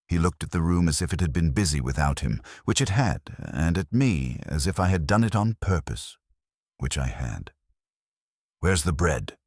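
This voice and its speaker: A man reading a first-person detective story as narrator, in a wry, measured storytelling manner. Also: extremely low pitch, very animated, a little mellow, dry recording, studio quality.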